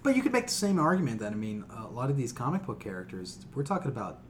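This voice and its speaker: A man's voice: mid-range voice, very animated, fast, slight background noise. A man co-hosting a podcast in casual chat, opinionated, slangy and given to swearing. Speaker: podcast co-host.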